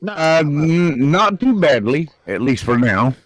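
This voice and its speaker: A man's voice: fairly high pitch, slow pace, no echo, rough recording. A man calling in to a chat show from his computer, joking and using homespun sayings. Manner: jokey, homespun sayings, lively. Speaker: guest caller. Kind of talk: casual chat. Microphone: computer browser call.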